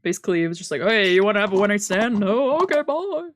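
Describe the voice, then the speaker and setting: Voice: medium pitch, very animated, quick pace. A streamer reading visual novel text aloud in a casual way, with sweary asides. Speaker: streamer doing a visual novel let's play. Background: game music.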